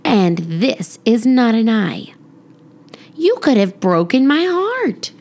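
A woman's voice: on the high side, very animated, slow, darkish tone, heavily acted. A woman voicing an earnest, literal-minded little schoolgirl in a storybook, with an emphatic, plain-spoken childlike manner. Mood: indignant.

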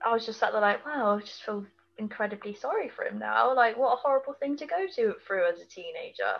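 A woman's voice: a little high, lively intonation, fast talker, gravelly. A British woman chatting casually as a podcast co-host, on a slightly lo-fi recording. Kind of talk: casual chat.

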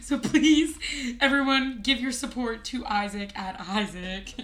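Teasing young woman, bright-voiced. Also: fairly high pitch. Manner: teasing, jokey, quick banter. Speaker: podcast co-host. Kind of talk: casual chat.

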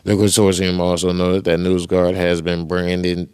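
Deep monotone guy, crisp clear voice, dry sound, reads news and jokes around. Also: unhurried, no room sound. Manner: blunt, joking, slangy. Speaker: podcast host. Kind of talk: casual commentary on news.

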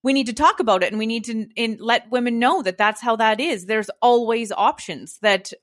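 A woman's voice: on the high side, normal speed. A woman hosting a casual interview about fitness, speaking earnestly in long run-on lists. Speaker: podcast host and fitness coach.

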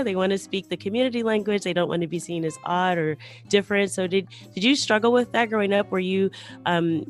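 A woman hosting a podcast, chatting casually with her guest and using many fillers. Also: fairly low pitch. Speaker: podcast host. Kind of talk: casual interview chat.

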